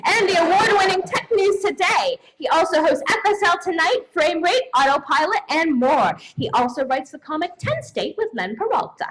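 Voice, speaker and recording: A woman's voice: extremely high pitch, big pitch swings, moderate pace, few pauses, fairly bright tone. A woman hosting a live awards show, reading introductions of presenters to an audience. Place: live event stage. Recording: very noisy live stage recording.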